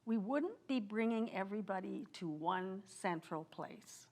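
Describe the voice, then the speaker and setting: A middle-aged woman, a festival co-founder, calmly recalling and explaining in conversation. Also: mid-range voice, unhurried. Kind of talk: conversational recollection.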